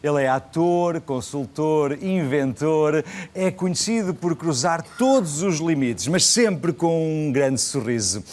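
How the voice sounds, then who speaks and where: A man's voice: fairly high pitch, takes it slowly, darkish tone. A man with a European Portuguese accent hosting a TV talk show, translating between English and Portuguese with many fillers and restarts. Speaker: TV show host. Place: TV studio with audience.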